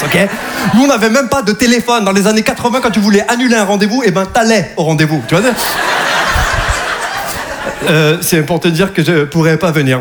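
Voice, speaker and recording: A man in his forties performing a stand-up routine on stage, teasing the crowd with lively asides. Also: sits high. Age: 40s. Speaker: stand-up comedian. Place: comedy venue with live audience. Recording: live stage recording with audible room noise. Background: audience laughter.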